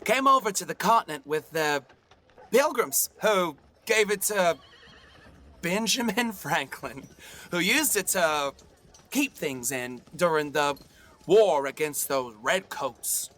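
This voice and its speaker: A man's voice: fairly high pitch, animated, slow pace, pushed and bright, heavily acted. A man playing a shifty, boastful frontier swindler in an audio drama, hesitating as he improvises his lies. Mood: sly bluffing.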